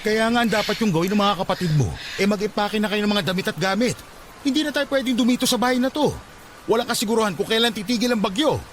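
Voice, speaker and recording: A man's voice: high voice, fast talker, natural voice. A man playing a stern, exasperated father in a radio drama, giving urgent orders. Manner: commanding, scolding. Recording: storm-like noise behind the voice.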